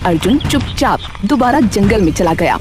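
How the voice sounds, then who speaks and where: A woman narrating a scripted animated story as a storyteller. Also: mid-range voice, normal speed, music in the background.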